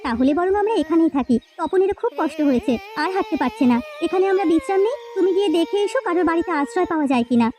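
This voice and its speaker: An anxious village wife and mother in a cartoon folk tale , played by a woman. Her voice is extremely high yet mellow, and she talks quickly. The delivery is plaintive and coaxing. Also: animated. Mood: weary. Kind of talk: Bengali cartoon folk-tale dialogue acting.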